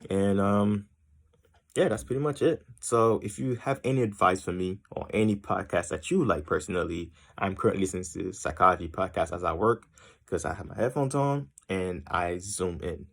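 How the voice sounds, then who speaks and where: A young man from Haiti introduces himself in a loose, wandering way, circling off on tangents and filling pauses with ums. His voice sits very low with a gravelly, fried edge. He sounds easygoing and unscripted. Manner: rambling and tangential, many ums and uhs. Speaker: student introducing himself to a class. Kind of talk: casual self-introduction.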